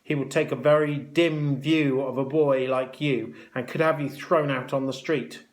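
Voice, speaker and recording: A man voicing a cruel, bossy hospital matron with a sharp, mocking, snapping delivery in a children's story. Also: mid-range voice, steady tone, moderate pace, lightly acted. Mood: commanding. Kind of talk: children's story read aloud.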